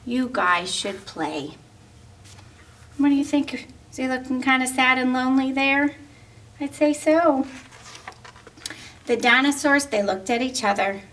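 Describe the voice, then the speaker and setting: A woman reading a picture book aloud to children, animated and pausing to ask her young listeners questions. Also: high voice, recorded from a distance. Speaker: story reader.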